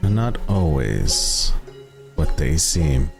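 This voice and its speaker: A man narrating a tabletop roleplaying game as game master, in a deliberate, suspenseful way with long dramatic pauses. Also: rumbling low, very animated, creaky, faint music behind.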